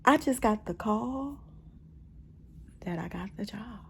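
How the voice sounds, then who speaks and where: A woman's voice: mid-range voice, animated, slow, creaky, a bit bright, slight background noise. A middle-aged American woman chatting casually in a personal vlog, telling her story expressively and repeating phrases for emphasis. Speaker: personal vlogger. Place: bedroom at home.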